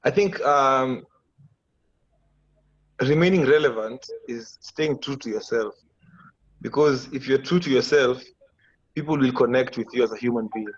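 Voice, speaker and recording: Husky Kenyan man in his thirties. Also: medium pitch. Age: 30s. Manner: reflective, measured explanations. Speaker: musician guest. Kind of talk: video call interview. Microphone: video call. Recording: dull lo-fi video call audio.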